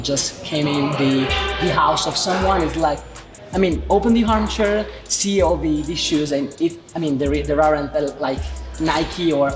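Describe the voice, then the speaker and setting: A man conducting an informal sports interview in halting, repetitive English. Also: fairly high pitch, poor audio. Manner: halting, repetitive phrasing in non-native English. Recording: music playing behind the voice. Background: background music.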